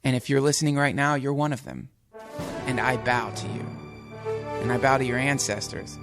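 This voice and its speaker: A man's voice: mid-range voice, moderate pace, music in the background. A man hosting a casual podcast from a small home setup, rambling and swearing as he introduces and questions his guest. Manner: rambling, profane, playful intros. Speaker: podcast host. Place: home studio.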